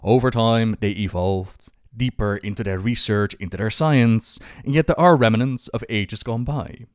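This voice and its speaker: A man's voice: on the low side, a little slow, no echo, studio quality. A man hosting and narrating video game lore as scripted storytelling, with dramatic phrasing.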